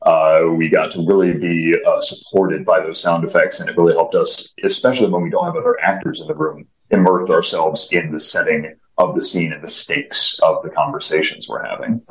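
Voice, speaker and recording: Deep radio-toned man in a roomy space. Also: little pitch movement, fairly quick, few pauses. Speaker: voice and stage actor, interview guest. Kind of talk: measured interview talk. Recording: roomy echo, dull muffled sound.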